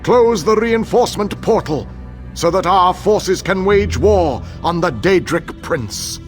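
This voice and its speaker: A man acting a grave, commanding leader in a fantasy game, rallying troops in a solemn, measured, oratorical way. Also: high voice, lively intonation, faint music behind. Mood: grave, rousing. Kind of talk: scripted fantasy game dialogue.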